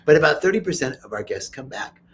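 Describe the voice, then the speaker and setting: A man's voice: fairly high pitch, big pitch swings, quick pace, slight background noise. Upbeat joking man hosting an interview. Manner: upbeat and joking, laughs often. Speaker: host. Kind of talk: casual interview. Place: retreat center in Costa Rica.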